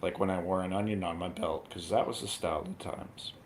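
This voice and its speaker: A man's voice: deep voice, steady tone, a little fast. A man on a movie review panel chatting casually and riffing jokingly on pop culture. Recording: clear background noise.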